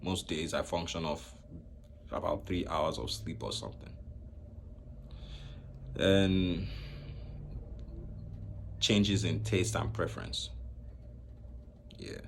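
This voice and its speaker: A young man from Nigeria speaking to camera in a slow, reflective way, pausing often between phrases. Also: sits low, creaky, a little hiss or hum. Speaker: vlogger. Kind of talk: personal talk to camera.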